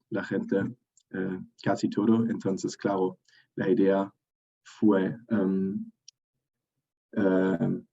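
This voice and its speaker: Deep gravelly young man, hesitant. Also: warm tone, lo-fi sound. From Germany. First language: German. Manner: hesitant, many fillers and restarts. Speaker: interview guest. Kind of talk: casual interview.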